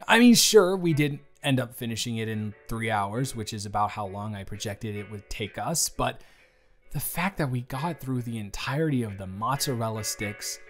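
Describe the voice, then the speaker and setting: A man's voice: mid-range voice, lively intonation, moderate pace, a bit bright. A man playing a fond, chatty office boss in his early thirties in a romantic audio roleplay, speaking earnestly and playfully straight to the listener. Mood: admiring, teasing. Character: warm, earnest thirty-three-year-old male office boss, fond and encouraging. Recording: background music. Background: music.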